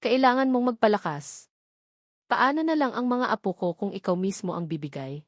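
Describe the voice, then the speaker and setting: A woman's voice: medium pitch, lively intonation, moderate pace, no echo, clean studio sound, natural voice. A woman with a Filipino accent voicing a caring, firm older grandmother in a narrated story. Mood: firm concern. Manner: firm, urging.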